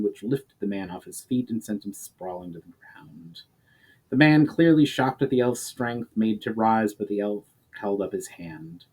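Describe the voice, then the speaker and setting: A man reading a fantasy novel aloud as a dramatic narrator, with expressive, theatrical phrasing. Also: mid-range voice, rough recording. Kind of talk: dramatic audiobook-style narration.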